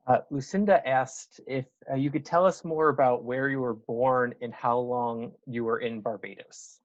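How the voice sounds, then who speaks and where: A man of medium pitch moderates an informal question-and-answer session, relaying questions from the audience. The sound is a little dull and lo-fi. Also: fairly even intonation, moderate pace.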